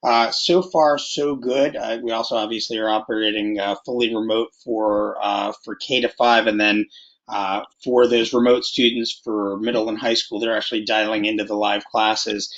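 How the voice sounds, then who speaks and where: A man's voice: on the low side, little pitch movement, moderate pace, a bit bright. A man giving an informal update as a school board member in an online meeting. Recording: muffled video meeting audio.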